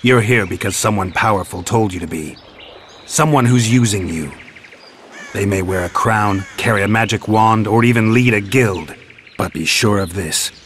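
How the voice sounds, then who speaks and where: An actor playing a cynical, world-weary warrior who hunts monsters in a video game, speaking in a dry, blunt, restrained way. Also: male voice, on the low side, moderate pace, slight background noise, close to an everyday voice. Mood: challenging.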